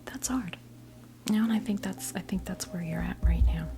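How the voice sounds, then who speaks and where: A woman in her fifties gives an ASMR tarot reading in a breathy whisper, halting often as she reassures the listener. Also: mid-range voice. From New Jersey, United States. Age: 50s. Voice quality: breathy whisper mixed with soft creaky voice. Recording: music playing behind the voice. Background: music.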